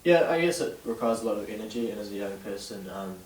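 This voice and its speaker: Young new zealand guy, deep voice, lots of ums, echoey room, far from the mic. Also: expressive, fast talker, a little mellow, a little hiss or hum. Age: teens. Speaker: interviewee, young DJ and event organiser. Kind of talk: hesitant interview answers with many fillers. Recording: distant roomy camera sound with faint ambience.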